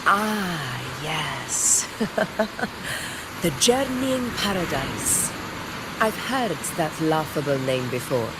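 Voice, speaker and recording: Proud female gladiator boss from a game, slow n regal, kinda low voice, noisy ambience behind her. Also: very animated, heavily acted. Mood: amused, confident. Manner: formal, stately, measured and self-assured. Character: proud, commanding female gladiator war leader, regal and battle-hungry. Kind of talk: dramatic video game character dialogue.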